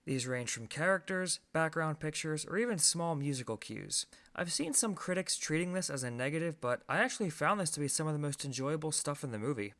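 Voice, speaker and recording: A man's voice: a little high, lively intonation, a little fast, few pauses, fairly bright tone. A man giving a scripted film review as host, conversational and opinionated, with emphatic stresses.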